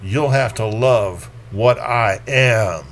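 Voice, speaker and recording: A man voicing a tiny, hysterical dead woman in a recited poem, taunting and defiant in a drawn-out declamation. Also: fairly low pitch, voice pushed down. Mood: mocking, defiant. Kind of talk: dramatic poetry recitation.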